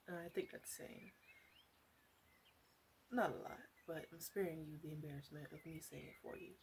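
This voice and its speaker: A woman playing a flirtatious, chatty witch of the woods in an ASMR roleplay, speaking in a soft, hesitant, playfully rambling way. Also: low voice, a little hiss or hum. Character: flirtatious, teasing forest witch, wry and chatty.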